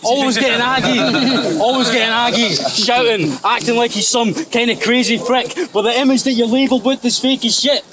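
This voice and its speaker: A man's voice: very high voice, fairly quick. Young Scottish man mocking in a rap battle. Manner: mocking, insulting, laughs at own punchlines. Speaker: battle rapper. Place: outdoors at night in a crowd. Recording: noisy outdoor crowd recording. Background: crowd.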